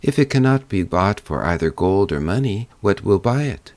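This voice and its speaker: A man's voice: low voice, animated, moderate pace, a little mellow, no room sound. A man voicing a haughty long-nosed troll princess in a storybook, curt and imperious.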